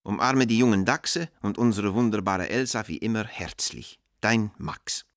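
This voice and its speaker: Radio drama: affectionate, self-mocking dealer in his forties played by a man, deep, dry-recorded, reflective letter reading. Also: moderate pace, no echo, natural voice. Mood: uneasy, affectionate. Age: 40s.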